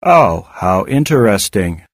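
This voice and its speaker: A malicious robotic woman, acted out by a male audiobook reader, taunts in a very slow, drawn-out way. The voice is hard and artificial, swinging in pitch with mock sweetness. The sound is dry. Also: very animated, no echo, exaggerated voice. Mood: gloating. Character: malicious, taunting female robotic voice of a machine hacker. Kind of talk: audiobook character voice.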